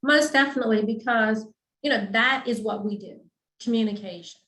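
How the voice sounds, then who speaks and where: A woman's voice: fairly high pitch, moderate pace, a bit bright, roomy sound. A woman speech-language pathologist explaining clinical topics in a patient, teacherly way, often checking in with the listener. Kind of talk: explanatory webinar talk.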